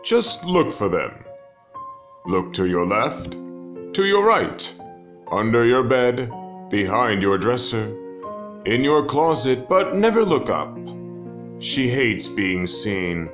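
A man's voice: mid-range voice, very animated. A man reading scripted horror stories as a narrator, in a dramatic, ominous manner. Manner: slow, dramatic, ominous delivery. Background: background music.

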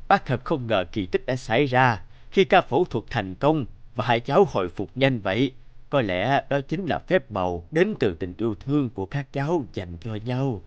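Man playing kindly older doctor, lively intonation. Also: mid-range voice, normal speed, no echo, highs cut off, lightly acted. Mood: warmly moved. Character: kindly older male doctor, moved and congratulating. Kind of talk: cartoon voice acting.

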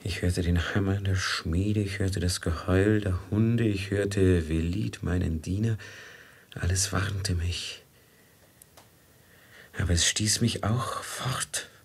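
An actor playing a grave, searching merchant with brooding, poetic intensity in a 1950s German radio drama. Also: male voice, low voice, steady tone, slow, full character voice. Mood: brooding, uneasy. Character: troubled, searching merchant in an Arabian Nights tale. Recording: hushed, husky-sounding 1950s mono radio recording.

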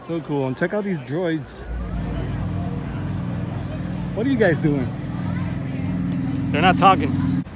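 A man's voice: fairly high pitch, animated, a little slow, heavy background noise. A middle-aged man vlogging a casual walking tour, chatting enthusiastically as he walks, filmed on a handheld camera at arm's length outdoors. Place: outdoor theme park. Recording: outdoor phone video with crowd and music. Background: loud music and crowd.

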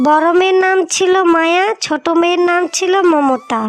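A woman narrating a cartoon fairy tale in an expressive storytelling manner, with music behind. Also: very high voice, normal speed. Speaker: narrator and voice of the daughters. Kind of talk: scripted cartoon narration and voice acting.

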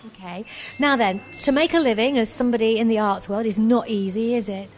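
A woman's voice: a little high, brisk. Breezy middle-aged woman radio host. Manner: breezy, chatty, compliments the guest. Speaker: radio presenter. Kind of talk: live radio interview. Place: busy pub. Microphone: handheld mic.

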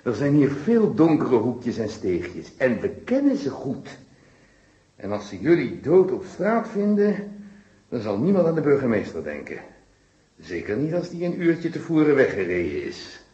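A menacing, corrupt mayor in a radio play. He taunts his captives with theatrical threats and a widely swinging pitch. The recording is roomy and echoing. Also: sing-song, measured pace, dark tone, dull audio. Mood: coldly threatening. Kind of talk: old-fashioned Dutch radio drama acting.

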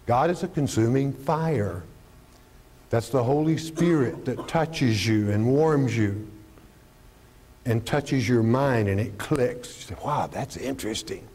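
Older male pastor, mellow voice. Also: mid-range voice, slow pace. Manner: animated, gestures constantly while teaching; poses rhetorical questions and answers them. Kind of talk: televised Bible teaching. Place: studio stage with podium and curtain. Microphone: lapel mic.

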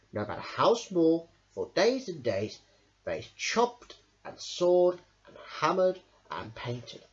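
A young male reading a children's storybook aloud in a deliberate, dramatic way. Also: a little high, dull audio, slight background noise. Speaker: storybook reader. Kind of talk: scripted children's story narration.

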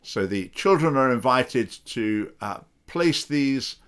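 A man's voice: medium pitch, lively intonation, slow, a bit bright, smooth. A middle-aged man in his fifties giving opinionated, sarcastic political commentary to a webcam from his home study. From England. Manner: opinionated, sarcastic, mocking quoted voices. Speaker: political party spokesman. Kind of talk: commentary to camera. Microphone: webcam video call framing.

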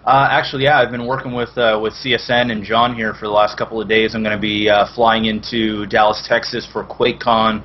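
A man's voice: on the low side, flat delivery, fairly quick, hardly any pauses. A young man with a Canadian accent chatting casually as a guest on an online show. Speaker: guest, esports streamer. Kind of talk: casual chat. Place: indoor room on a video call. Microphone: headset. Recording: dull, noisy video call audio.

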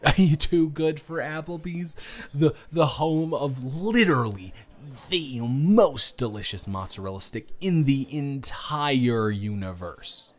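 A man's voice: a little high, expressive, slow. A young man improvising a first-person date roleplay, teasing and rambling with frequent restarts, recorded close on a home studio mic. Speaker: audio roleplay performer.

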